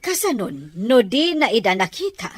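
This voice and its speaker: A woman's voice: fairly high pitch, big pitch swings, moderate pace, a little hiss or hum. A woman acting a concerned wife in a radio drama, with an Ilocano accent. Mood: confronting, worried. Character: Mirna, concerned wife confronting Pedro about boundary.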